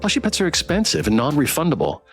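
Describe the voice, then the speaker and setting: A man's voice: on the high side, huge pitch swings, fast talker, fairly bright tone, soft background music. A man live-streaming a visual novel, reading aloud in a playful, theatrical way and adding his own comments. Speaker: game streamer.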